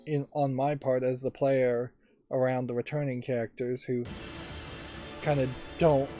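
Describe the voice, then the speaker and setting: A man's voice: medium pitch, warm tone. A man giving casual, musing, analytical commentary as he plays a video game. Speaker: let's play host. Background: game music and voices.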